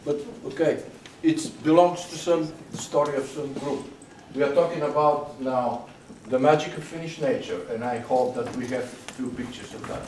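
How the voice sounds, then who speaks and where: A man opening an exhibition event, halting and searching for words. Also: mid-range voice, steady tone, darkish tone. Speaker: event announcer. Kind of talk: opening announcement. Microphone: far, room mic. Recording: distant echoey voice, noisy crowd space.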